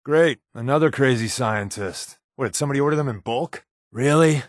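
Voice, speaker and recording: An actor playing a sardonic, joking spy companion in a wasteland video game, with a dry, deadpan delivery. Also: male voice, medium pitch, brisk, dry recording, close to an everyday voice. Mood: incredulous.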